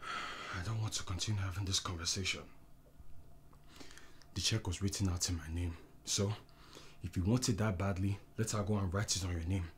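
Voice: mid-range voice, expressive, brisk, hardly any pauses, sharp tone, a little hiss or hum. An actor playing a proud, defensive, bitter husband in a screen drama, with a sarcastic, self-justifying way of talking. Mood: cold, scornful. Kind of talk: naturalistic screen drama acting.

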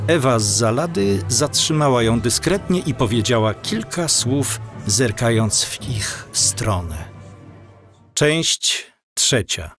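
Male audiobook narrator: unhurried, mid-pitched, scripted storytelling with faint music underneath.

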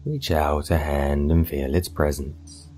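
A man narrating a scripted guided meditation in a soothing, hypnotic, measured way with long pauses. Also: rumbling low, steady tone. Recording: voice over meditation music. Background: soft meditation music.

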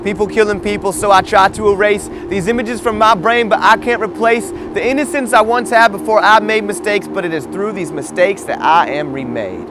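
A man's voice: high voice, fairly quick, few pauses, background noise. A young man performing a rhyming spoken word poem in an earnest, urgent rhythm, as a voice-over. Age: young adult. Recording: voice-over over loud music.

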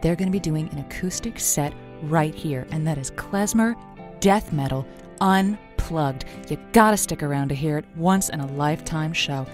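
A woman's voice: low-pitched, normal speed, a little mellow, faint music behind, lightly acted. An actress playing an earnest, folksy woman who hosts a radio dating-advice show, chatty and playful. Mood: folksy showmanship. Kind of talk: audio drama acting as late-night radio host.

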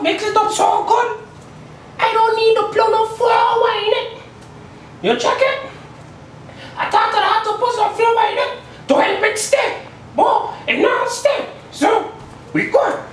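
A man's voice: very high-pitched, slight background noise. Playful man in an echoey kitchen. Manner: playful and chatty, tags lines with "you check?". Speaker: home cook host. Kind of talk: casual cooking demonstration. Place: small home kitchen indoors. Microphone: camera mic at a distance. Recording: roomy kitchen from far off.

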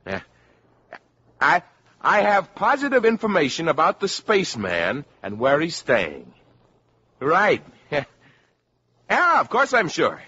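An actor playing a self-serving, hot-headed American boyfriend in a 1950s radio drama, brash and insistent. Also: male voice, on the high side, wildly swooping pitch, slow pace, pauses often, sharp tone, breathy edge, exaggerated voice. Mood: eager. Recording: dull vintage 1950s radio recording.

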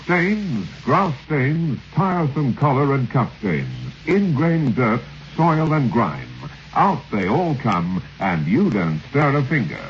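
A man's voice: medium pitch, sing-song, slow. A man reading a vintage radio advertisement as an emphatic, persuasive announcer. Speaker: commercial announcer. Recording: dull, lightly hissy old radio recording.

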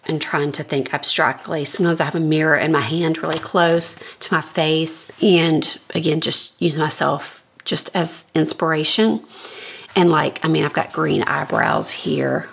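Scratchy low-voiced woman touring her sketchbook. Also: measured pace, vocal fry, husky. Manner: relaxed, thinking aloud while flipping pages. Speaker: artist giving a sketchbook tour. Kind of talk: casual sketchbook walkthrough. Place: home art studio, indoors. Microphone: overhead camera, speaker off-frame.

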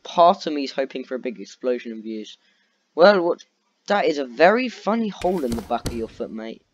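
A man giving casual gameplay commentary as a video host, sounding tired and low on energy with a dry, sarcastic manner. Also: brisk. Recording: steady background noise with clicks.

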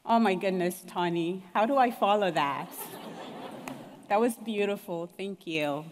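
A woman giving a heartfelt, expressive graduation speech at a podium in a large hall. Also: mid-range voice, a little slow, slight background noise. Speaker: graduating student speaker. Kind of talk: commencement speech. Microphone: podium mics. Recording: long hall reverb.

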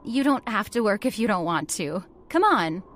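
An actress playing an earnest, headstrong factory heiress in a radio horror drama, with an emphatic, theatrical delivery. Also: female voice, mid-range voice, very animated, moderate pace, a bit bright. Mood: coaxing, pleading. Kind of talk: old-time radio horror drama acting.

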